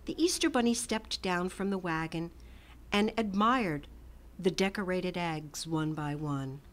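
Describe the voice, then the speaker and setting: A middle-aged woman reading a children's picture book aloud with gentle, expressive storytelling and soft whispered asides. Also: lowish voice, unhurried. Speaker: storytime reader. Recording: music playing under the voice.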